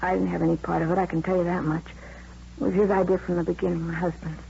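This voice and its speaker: A woman's voice: on the low side, little pitch movement, fast, gravelly, warm tone, breathy edge, exaggerated voice. An American woman playing a bitter, weary middle-aged wife of a car thief, speaking in clipped, resentful phrases in an old radio drama. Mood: sullen, resigned. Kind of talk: 1950s radio drama acting. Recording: dull, slightly noisy old radio recording.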